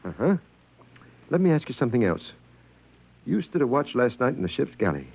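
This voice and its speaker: An actor playing a stern, suspicious spaceship captain in an old radio drama, speaking in a commanding, interrogating manner. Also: male voice, medium pitch, big pitch swings, fairly quick, creaky, breathy edge, exaggerated voice. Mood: stern, probing. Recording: muffled old radio recording.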